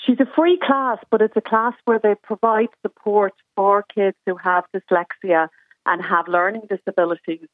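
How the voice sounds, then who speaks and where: A woman phones in to a radio show as a mother and lays out her situation earnestly, pausing on ums as she explains. Her voice sits at a middle pitch. It comes through a thin, tinny, dry phone line. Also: steady tone, no room sound. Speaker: caller, mother. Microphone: telephone.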